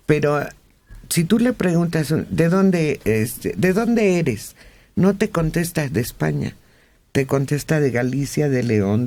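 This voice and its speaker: A Mexican man speaking on a radio panel, explaining points in a measured way. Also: fairly high pitch, a little mellow. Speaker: radio host.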